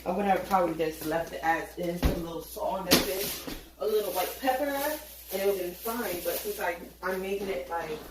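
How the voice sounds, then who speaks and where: A woman talks her way through a late-night cooking session in her home kitchen, joking and swearing casually as she works. She sounds tired but chatty. The room is echoey, with clear background noise under her voice. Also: lowish voice, fairly quick. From Haitian family. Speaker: home cook vlogger. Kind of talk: casual cooking vlog chat.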